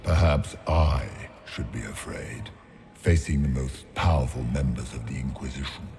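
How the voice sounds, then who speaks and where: An actor playing an ancient, menacing fear demon who taunts in a mocking, condescending, deliberate way for a video game. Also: male voice, rumbling low, a little mellow, faint music behind. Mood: sneering.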